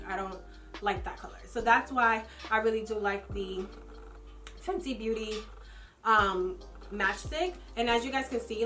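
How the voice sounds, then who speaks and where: A woman's voice: medium pitch, fairly bright tone. A young woman losing her voice with a runny nose, chatting casually through a makeup product review as a vlogger. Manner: chatty, filler-heavy, personal opinions. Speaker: beauty vlogger.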